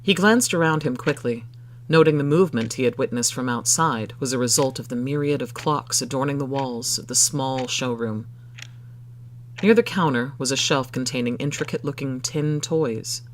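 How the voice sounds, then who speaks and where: A man's voice: medium pitch, normal speed, a little hiss or hum. A man narrating scripted fiction in a dramatic storytelling style.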